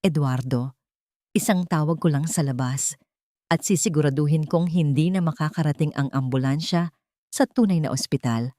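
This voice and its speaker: A woman's voice: low-pitched, normal speed, warm tone, dry recording, lightly acted. Audiobook drama villainess, played by a female reader: blackmailing doctor, commanding and menacing, dry sound. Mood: bitter, defiant. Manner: threatening, commanding.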